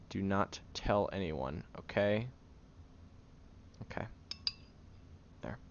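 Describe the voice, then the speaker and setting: Audio roleplay: male actor as tired tsundere guy, gruff but secretly caring, halting, creaky voice with lively swings in pitch. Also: heavily acted. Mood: exasperated, reluctant. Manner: halting, sighing, grumpy and flustered. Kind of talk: intimate audio roleplay, acted monologue to the listener. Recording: dull lo-fi, clear background noise.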